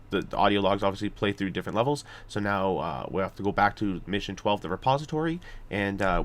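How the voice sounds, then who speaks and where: A man narrating a video game walkthrough off the cuff, explaining steps in a somewhat halting, hesitant way. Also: lowish voice. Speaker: gaming guide host.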